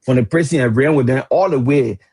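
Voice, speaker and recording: A man in his fifties with a Liberian English accent, debating politics on a radio panel, animated and searching for words, often laughing mid-sentence. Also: medium pitch, brisk, slightly rough. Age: 50s. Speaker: radio talk show panelist.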